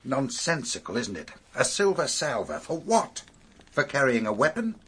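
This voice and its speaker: A British police superintendent in a radio mystery. His voice is mid-pitched with a husky edge. He is sceptical and businesslike, putting questions in a dry, measured way. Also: big pitch swings, fairly bright tone. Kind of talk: British radio drama acting.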